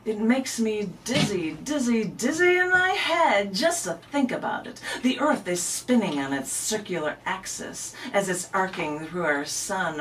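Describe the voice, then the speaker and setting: Woman poet reading and chatting on radio, lowish voice, recorded far from the mic with noise. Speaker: poet, interview guest. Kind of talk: poetry reading and casual radio chat. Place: radio studio, recorded on a camera. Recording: noisy, distant camera recording in radio studio.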